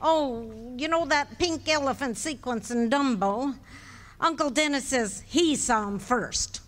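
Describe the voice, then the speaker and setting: A woman's voice: high voice, a little slow. A woman playing a patient, dryly witty middle-aged wife in a live radio play. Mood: teasing. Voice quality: dry, teasing.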